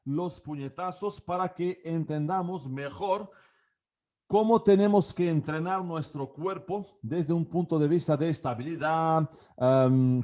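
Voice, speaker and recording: A man in his forties speaks with a Portuguese accent, his voice sitting a little high for a man. He talks like a coach at an online class, stopping often for fillers and restarts as he works out his phrasing. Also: a little mellow. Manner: hesitant, many fillers and restarts. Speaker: karate coach and academy founder. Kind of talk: online class talk to camera.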